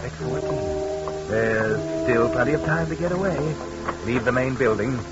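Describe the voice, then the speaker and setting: A man's voice: mid-range voice, measured pace, close to an everyday voice. Insistent, ominous radio thriller narrator, acted by a man. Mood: ominous, urgent. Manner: terse, insistent, addressing the character directly. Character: ominous male narrator taunting a hurried fugitive. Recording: muffled vintage 1940s radio, loud music.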